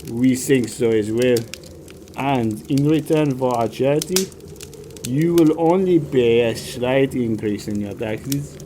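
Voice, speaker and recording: A man's voice: mid-range voice, slow pace, mellow, slight background noise, close to an everyday voice. A man playing a smug, falsely genial blackmailing town official in an audio drama, with pompous politeness. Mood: smugly genial.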